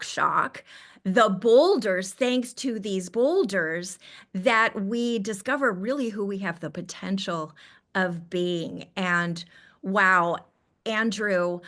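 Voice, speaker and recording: Bright-voiced woman hosting a podcast. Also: mid-range voice. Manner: emphatic, playful, effusive. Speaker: podcast host. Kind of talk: conversational interview with storytelling flourishes.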